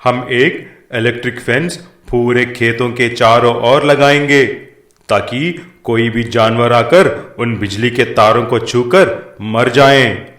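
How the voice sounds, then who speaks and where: A man playing a stern, stubborn village headman in an animated moral story, authoritative and deliberate. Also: mid-range voice, reverberant. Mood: commanding.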